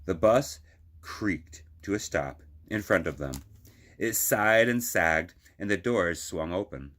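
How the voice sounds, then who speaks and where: A man's voice: deep voice, lively intonation, slow pace, a bit bright. A man reading a children's picture book aloud as a librarian would, expressively, drawing out phrases for emphasis.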